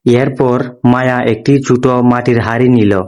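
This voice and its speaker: A man's voice: mid-range voice, little pitch movement, normal speed, darkish tone, lo-fi sound. A man narrating a moral fable as scripted storytelling.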